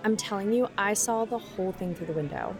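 A woman's voice: medium pitch, brisk, close to an everyday voice. A woman playing a smug, gossipy teenage camp girl in an audio drama, talking in a casual, conspiratorial way. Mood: knowing. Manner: conspiratorial, casual, filler-laden teen talk. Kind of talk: naturalistic audio drama dialogue.

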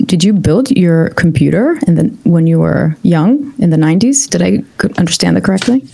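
A woman hosting a podcast interview, friendly and quick with short murmurs of agreement. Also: fairly low pitch, lively intonation, vocal fry, mellow, a little hiss or hum. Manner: friendly, lots of short backchannels. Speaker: podcast host.

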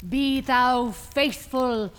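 A woman's voice: high voice, extremely slow, smooth. An actress playing a cold, commanding female cult leader in a radio drama, solemn and declamatory in ceremonial speech. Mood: stern, ceremonial.

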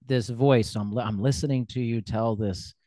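A man's voice: mid-range voice, fairly even intonation, moderate pace, warm tone, dry recording, studio quality. A man hosting a podcast interview, easygoing and encouraging, laughing along with his guest. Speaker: podcast host. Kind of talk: casual interview conversation.

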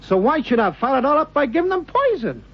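An actor playing a nervous, pleading boxing manager with rough, protesting speech in an old radio drama. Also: male voice, extremely high pitch, sing-song, brisk, muffled recording, full character voice. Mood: anxious protesting. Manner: protesting, rough colloquial grammar.

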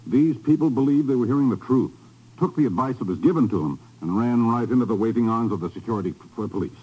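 An actor playing a grave, emphatic intelligence chief in a 1950s radio spy drama. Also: male voice, mid-range voice, animated, quick pace, breathy edge, phone-quality sound. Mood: grim. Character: grave male intelligence chief briefing his agent. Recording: vintage 1950s radio recording.

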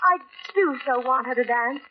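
A woman's voice: high voice, normal speed, a little hiss or hum, close to an everyday voice. An actress playing a sweet, coy young English lady with genteel manners, in 1940s radio drama style. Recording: muffled vintage radio broadcast.

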